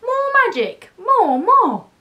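An excitable, teasing storybook cat, as a woman reader puts it on, pitched very high. The delivery is exclamatory and squealing, with big swoops up and down. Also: slow, dull audio, heavily acted. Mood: amazed, demanding.

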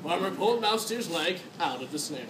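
A woman's voice: on the low side, lively intonation, fairly quick. A young woman narrating a children's story on stage with theatrical emphasis. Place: classroom, recorded by a far camera. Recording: echoey classroom from far off, noisy.

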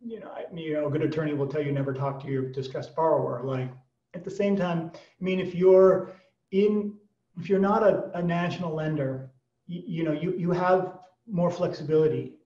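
An American man in his fifties talks as a podcast guest in a fairly high voice. He restarts often and wanders into asides. He is on a video call from a small room, echoey and slightly dull in sound. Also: steady tone, normal speed, warm tone. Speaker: auction executive, podcast guest. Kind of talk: conversational interview answers. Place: small plain room indoors. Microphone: computer mic on video call. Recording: boxy, echoey video call, distant mic.

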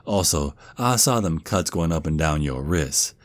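A man's voice: very deep voice, animated, measured pace, no echo. A man playing a menacing, mocking, protective werewolf father with a Southern US accent, drawling and taunting in an ASMR roleplay. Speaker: audio roleplay performer.

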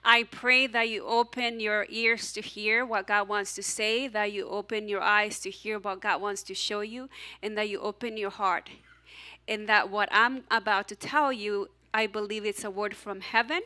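A woman pastor in her forties with a non-native English accent, preaching a sermon from a church stage in an animated, encouraging way and prompting the congregation to repeat after her. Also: medium pitch, moderate pace. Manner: animated, encouraging, audience call-and-response. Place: church stage, large hall.

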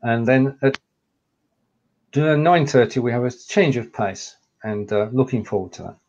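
A man's voice: medium pitch, a little slow, a little mellow, highs cut off. An older man with a Lancashire-Cumbrian hybrid accent, co-hosting a casual video call and explaining things methodically. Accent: Lancashire and Cumbrian hybrid English. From England. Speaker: co-host. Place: home room with pictures on the wall. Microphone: webcam or desk mic on a video call.

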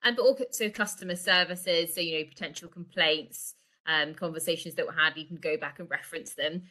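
Bright-voiced woman presenting, on the low side. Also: steady tone, fairly quick. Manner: explanatory, conversational. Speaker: product presenter. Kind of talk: informal online sales presentation. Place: indoor room, online video call. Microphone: video call microphone.

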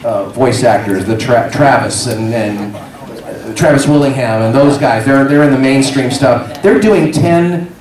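A man's voice: mid-range voice, unhurried. A man speaking casually as a voice actor on a convention panel. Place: convention panel room with audience. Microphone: table microphone, recorded by far camera. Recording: very echoey hall, distant mic, crowd.

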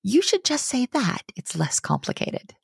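A woman in her thirties, a guest speaking on a video call from a home room, talking with animated enthusiasm in quick bursts. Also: a little high, gravelly, husky, no room sound, studio quality. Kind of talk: casual conversation.

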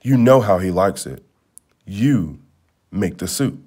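A man's voice: low-pitched, animated, slow, a little mellow, full character voice. A man voicing a cruel, scheming stepmother in a storybook, bossy and menacing.

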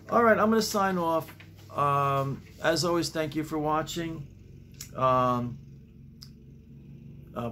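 An older man, about seventy, speaks very slowly in a mid-pitched voice. His delivery is plain and halting, recorded indoors in a small home office. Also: a bit bright, a little hiss or hum. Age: older, around 70. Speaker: YouTube host and playwright reading own work. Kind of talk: script reading and casual vlog talk.

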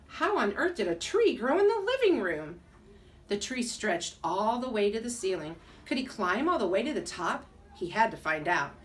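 A middle-aged woman teacher reading a children's storybook aloud in an expressive way. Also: fairly high pitch. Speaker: second grade teacher reading a bedtime story. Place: small school room, indoors. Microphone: camera a few feet away. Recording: distant mic in a room.

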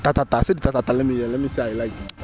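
A man in his twenties with a Nigerian accent, teasing and animated as he hosts a casual street interview. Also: medium pitch, rapid-fire, very noisy. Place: outdoors on a park path.

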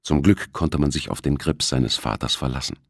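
A man's voice: extremely low pitch, moderate pace, no room sound, clean studio sound. A man narrating a suspense novel as an audiobook, reading from a script. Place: close, dry studio recording.

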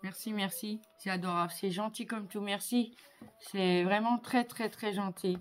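A woman in her fifties chatting casually to viewers as a craft vlogger, effusive and appreciative, exclaiming often and repeating words haltingly, recorded on a phone indoors at home. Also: mid-range voice, fairly even intonation. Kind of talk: casual unboxing chat to viewers. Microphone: phone on a tripod at arm's length.

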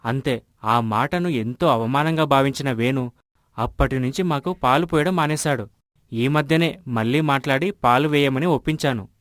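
A man voicing an earnest, generous village husband in an animated moral story, speaking in an explanatory way. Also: a little high, no room sound, studio quality, close to an everyday voice.

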